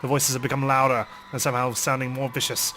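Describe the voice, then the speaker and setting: Man, medium pitch, let's play host, dramatic visual novel reading and commentary, faint background music. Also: level tone, fast, sharp tone.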